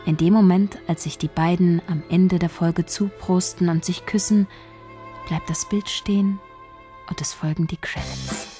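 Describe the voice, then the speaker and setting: A woman's voice: lowish voice, steady tone, measured pace, dark tone, faint music behind, natural voice. An actress playing a shy young woman soap writer, narrating her inner monologue in a radio play. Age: young adult.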